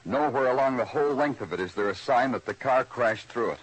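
A man's voice: medium pitch, lively intonation, a little fast, husky, poor audio. A man playing a terse, hard-boiled police detective in an old radio drama. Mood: grim. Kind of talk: 1950s radio drama acting.

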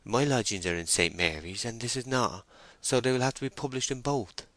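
Male reader as a lovesick young woman for a storybook: lifted pitch, trembling, meek and hesitant. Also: a little fast, a bit bright, no room sound, close to an everyday voice. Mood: shy pleading. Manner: tremulous, hesitant, pleading. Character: timid, pleading young woman, tremulous and lovesick.

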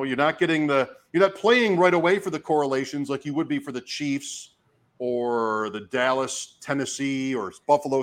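A man, hoarse from talking, hosting a casual fantasy sports show and commentating in short, fragmented phrases as he thinks out loud. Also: mid-range voice. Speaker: fantasy football show host giving draft advice. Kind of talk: casual talk, live draft commentary.

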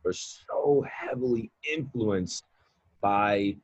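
A man's voice: fairly low pitch, takes it slowly, highs cut off. A man in his late twenties, a mindset coach and guest, talking casually in a rambling way with many fillers and repeated words. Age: 20s. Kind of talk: casual podcast conversation.

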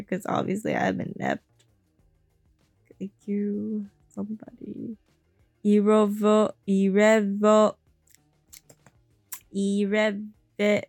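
A woman's voice: medium pitch, gravelly, darkish tone, no room sound. Woman reading aloud, stumbling and laughing. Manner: stumbles over words and laughs at herself. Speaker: reader and host. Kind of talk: reading a novel aloud with casual asides.

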